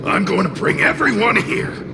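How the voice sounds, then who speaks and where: A man voicing a brash, protective spirit creature in a game cutscene, gravelly, blustering and scolding. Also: sits high, animated, moderate pace, heavy background noise. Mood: insistent. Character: gruff, brash spirit tail, hot-tempered but protective. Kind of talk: dramatic game cutscene voice acting. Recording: loud background music. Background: loud music.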